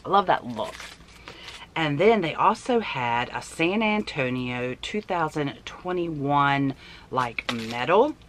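A woman in her forties chats to camera with a hoarse, forceful edge to her voice. She is chatty and emphatic, like someone showing off a shopping haul at home. There is noticeable noise behind her. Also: deep voice, lively intonation, slow. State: hoarse voice from allergies. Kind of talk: casual chat to camera. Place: small indoor room at home.

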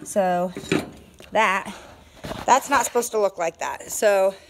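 A woman in her forties giving a casual, halting homestead video diary outdoors, talking into a phone at arm's length. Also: mid-range voice, background noise. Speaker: homesteader vlogger. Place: outdoors on a farm. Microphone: phone held at arm's length.

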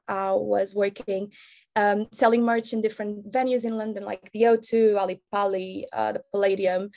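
A woman's voice: mid-range voice, a little mellow. A woman in her twenties from Portugal with a Portuguese accent, chattily introducing herself as an intern on a video call, full of "like" fillers. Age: 20s.

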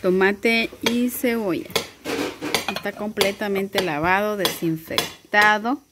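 A woman's voice: medium pitch, fairly even intonation, slow. Guatemalan woman hosting a kitchen cooking show. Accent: Guatemalan Spanish. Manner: friendly explaining, many rhetorical "¿verdad?" tags. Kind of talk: casual cooking demonstration. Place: home kitchen. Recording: loud sizzling and kitchen noise.

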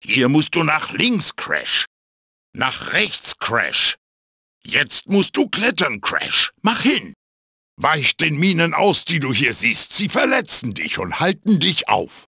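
Gravelly, swooping old mask spirit. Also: male voice, fairly high pitch, huge pitch swings, slow pace, sharp tone, no echo, studio quality, heavily acted. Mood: urgent. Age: older. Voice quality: raspy, gravelly. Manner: theatrical, emphatic, exhorting. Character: wise, bossy old magical wooden mask mentor. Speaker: guide and tutorial mentor. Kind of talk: video game character voice acting.

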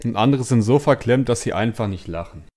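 A man's voice: medium pitch, animated, a little fast, warm tone, close to an everyday voice. A man playing a smug, tasteless stand-up comedian in a parody radio play. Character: self-satisfied, tasteless male stand-up comedian.

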